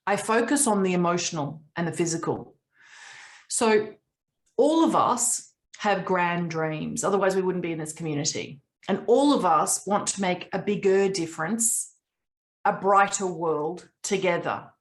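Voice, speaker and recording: A woman in her late forties, raised in Papua New Guinea, coaching a group on a video call in an earnest, reflective way with long pauses. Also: on the low side.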